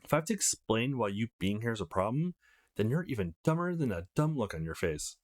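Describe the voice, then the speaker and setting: A sour young woman from a visual novel, acted out by a man, snide and scornful. The lines are cutting and spiteful, with pitch jumping about a lot. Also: sing-song, fairly quick, close to an everyday voice. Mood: hostile, scornful.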